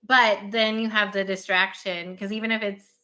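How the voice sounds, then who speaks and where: A woman with a clearly bright, crisp-edged voice of average pitch. She hosts a casual podcast conversation, speaking informally and agreeably with her guest. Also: little pitch movement, moderate pace. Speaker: podcast host.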